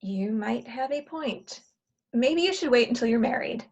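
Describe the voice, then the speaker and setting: A woman playing a bossy, exasperated matchmaker in a comedy play table read, brisk and sardonic as she gives orders. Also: fairly high pitch, animated, a little mellow.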